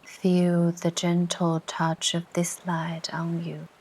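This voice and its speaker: Slow, low-voiced woman guiding meditation. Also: monotone, husky, poor audio. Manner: soothing, gentle, unhurried instruction with long pauses. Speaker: meditation guide. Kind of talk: guided meditation narration. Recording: ocean surf sounds behind the voice. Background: ocean waves ambience.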